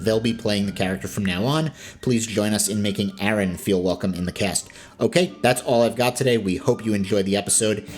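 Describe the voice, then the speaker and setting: A man with a fairly low voice addresses podcast listeners as their host. He delivers announcements and sponsor reads in an informal style.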